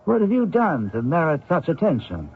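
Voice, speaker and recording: Gravelly, genteel radio doctor. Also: male voice, a little high, huge pitch swings, full character voice. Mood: probing, reassuring. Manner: genteel, measured, probing. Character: courteous, inquisitive male ship-travelling doctor. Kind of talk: old-time radio drama acting. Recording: tinny old radio drama recording.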